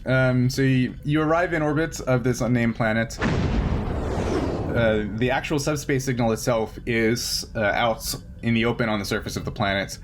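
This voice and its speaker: Male game master, mid-pitched, conversational and off-the-cuff, quick to chuckle, faint noise behind. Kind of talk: casual improvised tabletop game narration.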